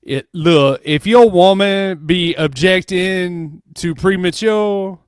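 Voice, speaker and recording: A man's voice: sits high, slow pace, smooth, no echo. A man co-hosting a livestream, chatting casually with laid-back, joking asides. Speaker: livestream co-host. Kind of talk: casual chat.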